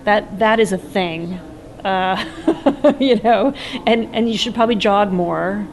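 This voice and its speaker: A woman's voice: medium pitch, slow pace. Middle-aged woman, wry storyteller. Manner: humorous, warm, storytelling. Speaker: conference interviewee. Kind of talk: wistful anecdotal reflection. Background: music.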